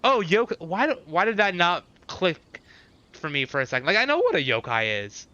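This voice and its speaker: A man's voice: high voice, very animated, normal speed, sharp tone, no room sound, dull audio. A man chatting casually with viewers as he streams a game, repeating short phrases and thinking aloud.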